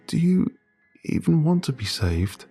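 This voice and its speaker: A man's voice: a little high, big pitch swings, unhurried, dark tone, slightly rough, faint music behind, pitched above the performer's own voice, exaggerated voice. A man voicing a pleading, desperate teenage boy. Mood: weary. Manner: pleading and urgent.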